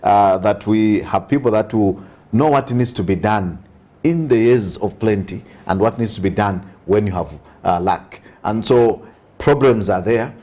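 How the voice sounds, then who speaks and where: A man's voice: fairly low pitch, measured pace, mellow. A man with a Zambian accent, a priest speaking as an interview guest, giving earnest social commentary with emphatic repetition and touches of preaching.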